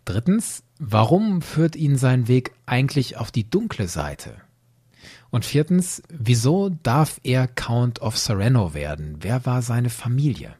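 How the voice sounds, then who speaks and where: A man's voice: mid-range voice, very animated, unhurried, a little mellow, husky. A man hosting a podcast, explaining things to listeners in an emphatic, conversational and orderly way. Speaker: podcast host. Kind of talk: explanatory solo podcast narration, addressing listeners directly.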